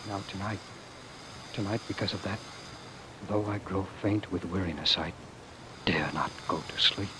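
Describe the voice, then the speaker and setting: Weary doomed narrator, low-pitched, halting and theatrical; 1940s radio play with a noisy vintage sound. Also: male voice, pushed and bright. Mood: haunted, exhausted. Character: weary, haunted man narrating a dying world. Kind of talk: 1940s radio drama monologue. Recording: noisy old radio broadcast recording.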